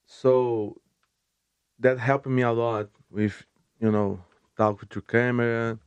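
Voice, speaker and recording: A young man with a Brazilian Portuguese accent, a podcast guest speaking halting English and often restarting words. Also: medium pitch, fairly even intonation, clear, resonant tone, no room sound, highs cut off. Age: young adult. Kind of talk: casual interview chat.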